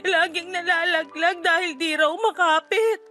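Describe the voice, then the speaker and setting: A woman's voice: very high voice, a little slow, bright, forceful tone, soft background music, full character voice. A woman playing an anguished, fearful wife who longs for a baby, speaking in a tearful, pleading voice in a radio drama. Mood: fearful, sad. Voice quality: tearful, sobbing voice. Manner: pleading, lamenting. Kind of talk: Tagalog radio drama acting.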